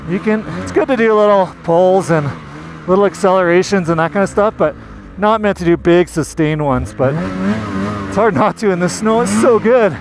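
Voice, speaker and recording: Stoked canadian dude on a sled in the backcountry, helmet cam, loud engine hum behind him. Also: male voice, high voice, moderate pace. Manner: enthusiastic, explaining while riding. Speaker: magazine editor reviewing a snowmobile. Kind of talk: casual ride-along commentary. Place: outdoors in deep snowy forest on a snowmobile. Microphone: helmet-mounted action camera. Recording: engine and wind noise.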